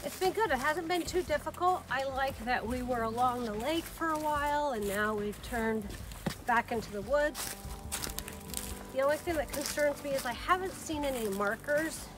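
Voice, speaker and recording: Wry high-voiced woman on a hike. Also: normal speed. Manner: wry, mildly worried, joking. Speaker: vlog co-host. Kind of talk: casual hiking vlog chat. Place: outdoors in winter woods. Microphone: handheld phone camera.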